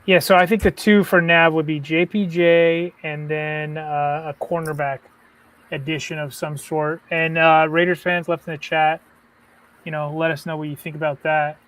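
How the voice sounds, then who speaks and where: A man's voice: on the high side, little pitch movement, normal speed, a bit bright, clean tone. A man co-hosting a live sports podcast, chatting loosely with lots of "like" and "you know". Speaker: podcast co-host. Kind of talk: casual live-stream chat.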